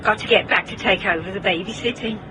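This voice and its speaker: An actress playing a brisk, offhand, unapologetic woman in a naturalistic radio drama. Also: medium pitch, pushed and bright, faint music behind, lightly acted, rough recording. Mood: offhand, defensive.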